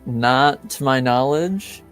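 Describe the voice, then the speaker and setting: A man playing a reserved, dry, earnest character in a tabletop game, with deadpan, formally phrased lines. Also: mid-range voice, clean tone, soft background music. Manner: deadpan, measured, formal phrasing with hesitant pauses. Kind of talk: improvised tabletop roleplay dialogue.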